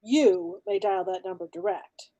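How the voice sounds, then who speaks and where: A woman's voice: medium pitch, unhurried, warm tone, muffled recording, lightly acted. A woman playing a polite, unflappable telephone operator in a radio play, with formal, scripted courtesy. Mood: polite, official.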